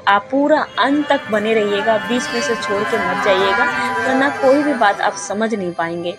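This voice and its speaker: A hoarse woman who is a little unwell, hosting a devotional channel and chatting her way through ritual steps, repeating herself and calling viewers her friends. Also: on the high side. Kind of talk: casual spoken ritual guide.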